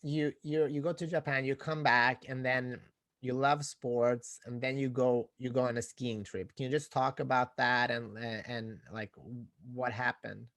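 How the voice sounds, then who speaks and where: A man hosting a podcast interview, asking questions and telling stories in a halting way with repeated words. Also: medium pitch, steady tone, fairly bright tone, no echo. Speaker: podcast host. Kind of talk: casual interview conversation.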